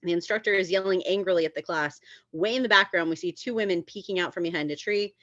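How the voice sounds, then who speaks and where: A woman's voice: fairly low pitch, fast, fairly bright tone, no room sound, lo-fi sound. A woman reading screenplay stage directions as the narrator of a table read.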